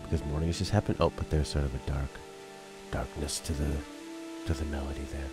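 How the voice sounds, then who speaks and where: Deep-voiced streamer, rambling and jokey, game music clearly behind him. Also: male voice, little pitch movement, a little fast. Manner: rambling, joking, thinks aloud. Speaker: let's play streamer. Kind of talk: casual gameplay commentary.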